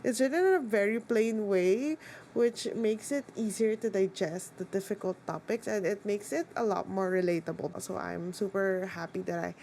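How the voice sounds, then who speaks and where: A woman's voice: medium pitch, moderate pace, warm tone, slight background noise. A woman whose first language is Tagalog, chatting casually about her reading as a book vlogger, with frequent fillers and a rambling flow. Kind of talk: casual chat.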